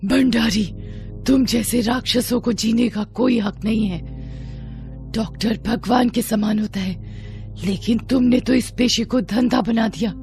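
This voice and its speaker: A woman's voice: a little high, steady tone, measured pace, vocal fry, over music. A woman voicing a vengeful, menacing female ghost in a horror story. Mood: accusing. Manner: menacing, accusing. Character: vengeful female ghost witch confronting an evil doctor. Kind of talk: Hindi horror story voice acting.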